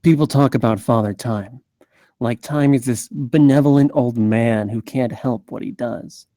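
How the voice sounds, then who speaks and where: A weary, hard-boiled investigator, acted by a man at a script read. His tone is dark and relaxed, and the sound is dry. He speaks with measured, brooding deliberation, like someone who expects the worst. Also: mid-range voice, no echo, close to an everyday voice. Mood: bitter, brooding. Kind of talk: scripted table read, noir monologue.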